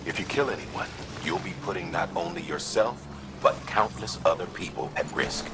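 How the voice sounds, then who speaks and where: An actor playing a stern, measured male mission commander giving formal, deliberate briefings in a video game. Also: sits low, over music, lightly acted. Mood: grave.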